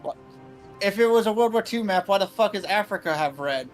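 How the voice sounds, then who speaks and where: A high-pitched man rattling through casual game stream banter at a quick clip. He is blunt and impatient with his fellow players and swears freely, with music underneath. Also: lively intonation.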